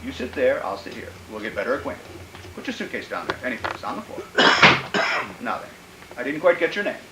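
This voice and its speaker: A sinister, fussy madman played by a man in an old radio thriller, his pitch swooping widely as he mutters and repeats himself with creepy politeness. The recording is roomy and clearly noisy. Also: very animated, fairly quick, natural voice. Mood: oily, wary. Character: sinister, gleefully deranged male killer posing as fussy polite employer.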